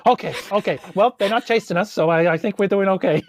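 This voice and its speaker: A man, improvising casual role-play in a halting, politely apologetic way. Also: high-pitched, slight background noise. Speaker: tabletop game player.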